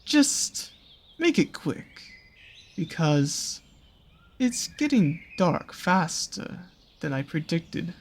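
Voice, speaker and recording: A performer voicing a seductive, slyly menacing naga monster in an intimate audio roleplay, with a husky, breathy voice and slow, coaxing, teasing phrasing. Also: medium pitch. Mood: warning.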